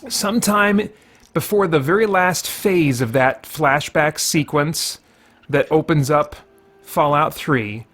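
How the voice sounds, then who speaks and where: A man's voice: a little high, a bit bright. A man giving casual gameplay commentary as a host, explaining his reasoning and thinking aloud as he goes. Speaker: host of a gaming video series.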